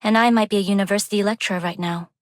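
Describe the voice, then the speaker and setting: Game role: refined young female underworld heiress played by an actress, composed, formal, quietly dangerous; mid-range pitch, close dry sound. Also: fairly even intonation, very fast, no echo, lightly acted. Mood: reserved, reflective. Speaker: video game voice actor. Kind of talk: scripted game character voice lines.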